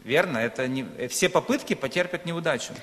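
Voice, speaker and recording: A male preacher from Ukraine, a native Russian speaker, with a slightly high voice. He delivers his sermon in short phrases with space between them, and the church hall rings with long reverb. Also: lively intonation, quick pace, a bit bright. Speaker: guest preacher.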